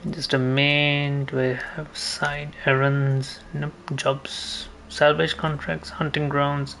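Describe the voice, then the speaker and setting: A man's voice: a little high, completely flat delivery, slow, vocal fry, fairly bright tone, slight background noise. Man rambling through casual gameplay commentary. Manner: rambling, thinking aloud. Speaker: gaming streamer. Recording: faint game ambience behind. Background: video game ambience.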